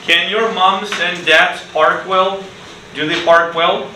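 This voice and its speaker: Slow-talking male teacher in echoey classroom. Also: sits high, fairly even intonation. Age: middle-aged. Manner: slow, deliberate, simple phrasing with repeated questions. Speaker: ESL teacher. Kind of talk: interactive classroom teaching to children. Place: school classroom, indoors. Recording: roomy, distant classroom recording with clear noise.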